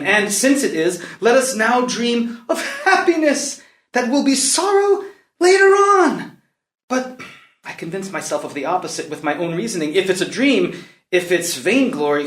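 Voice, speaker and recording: An actor playing a tormented, passionate young prince in a classical stage drama, declaiming and brooding between hushed lines and outcries. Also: male voice, sits high. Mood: wondering, tempted, then brooding resolve. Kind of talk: classical stage soliloquy, unrehearsed read. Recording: roomy echo around the voice.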